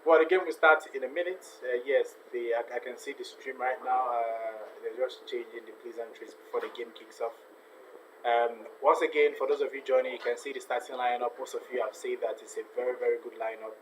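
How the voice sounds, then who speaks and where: A man's voice: mid-range voice, steady tone, fairly quick, low-quality recording. A man hosts a casual football watch-along and reads out viewer messages. He talks in a mid-range voice, often repeating himself. Faint background hiss sits under the voice. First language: not a native Ghanaian; speaks with a foreign accent. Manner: chatty, repeats phrases, reads viewer comments aloud. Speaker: live stream host.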